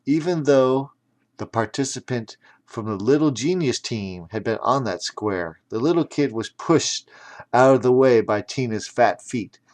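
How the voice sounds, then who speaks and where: A man narrating a children's audiobook theatrically, breaking off for chatty explanatory asides. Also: mid-range voice.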